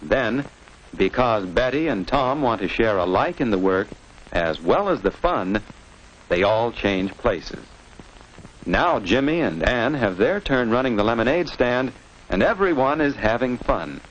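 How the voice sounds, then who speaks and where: A man's voice: medium pitch, big pitch swings, a little slow. A man reading scripted narration for a children's instructional film in a didactic way, posing questions and answering them. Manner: didactic, asking and answering his own questions. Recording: noisy old educational film soundtrack.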